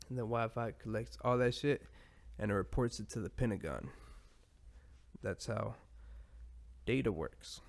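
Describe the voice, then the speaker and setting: A man in a relaxed, rambling podcast monologue with a gravelly edge and plenty of creak at the bottom of his voice. He drifts from one tangent to the next, loose and profane, at a mid-range pitch. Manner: rambling, profane, laid-back. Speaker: podcast host.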